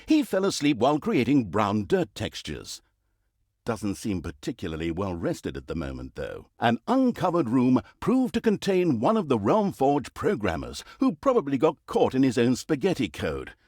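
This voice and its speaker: A man's voice: medium pitch, huge pitch swings, normal speed, darkish tone, no room sound, clean studio sound. A man playing a sardonic, mock-grand storybook narrator in a video game, telling of an evil overlord's deeds with theatrical flair. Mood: wry, mock-grand.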